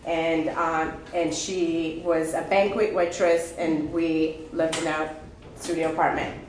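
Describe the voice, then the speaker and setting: A woman from Ethiopia giving an unprepared personal testimony at a recovery meeting, halting and candid with many fillers and restarts. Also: deep voice, little pitch movement, slight background noise. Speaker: speaker sharing her story at a recovery meeting. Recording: echoey room with faint ambience.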